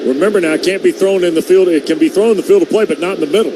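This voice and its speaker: A man's voice: high-pitched, racing. A male American radio announcer calling live football play-by-play in short, punchy present-tense phrases. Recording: loud stadium noise behind the voice. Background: stadium ambience.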